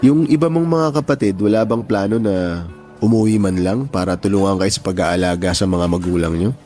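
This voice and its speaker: A man's voice: low-pitched, a little fast, warm tone, faint music behind, natural voice. A man playing a devoted, sweet-talking young suitor in a Filipino radio love drama, earnest and reassuring. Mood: concerned, caring.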